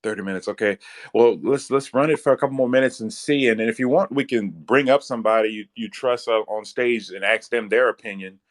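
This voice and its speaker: A man's voice: medium pitch, brisk. A man, chatting casually as co-host, hesitant and full of fillers. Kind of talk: casual chat.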